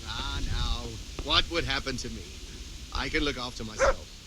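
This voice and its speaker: An actor playing a boastful, flirtatious medieval rogue in a video game, teasing and playful. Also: male voice, mid-range voice, normal speed, background noise, close to an everyday voice. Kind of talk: acted game dialogue.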